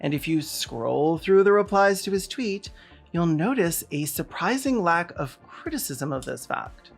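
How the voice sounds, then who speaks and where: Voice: medium pitch, expressive, a little slow, vocal fry, faint music behind. A video commentator delivering scripted political commentary in a sarcastic, mocking manner. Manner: sardonic, mocking. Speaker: video essayist and host.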